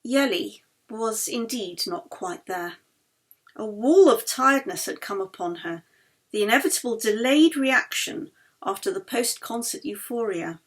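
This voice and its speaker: Female audiobook narrator, author-reader: higher-pitched, husky edge, expressive literary storytelling. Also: measured pace. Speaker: author reading own novel.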